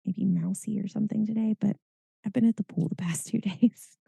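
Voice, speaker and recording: A hoarse woman, co-hosting a podcast in casual, chatty talk full of fillers. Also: medium pitch, steady tone, a little fast, mellow, no echo. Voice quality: raspy. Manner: chatty, lots of fillers and laughs. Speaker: podcast co-host. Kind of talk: casual chat.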